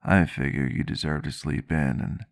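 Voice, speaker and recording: Gentle vampire doctor played by a man, bottomless bass, soothing and courteous, close dry ASMR recording. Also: normal speed, vocal fry, no echo. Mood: fond, cheerful. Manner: soft-spoken, formal wording, reassuring. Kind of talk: roleplay ASMR, soft intimate acting. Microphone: close microphone, dry sound.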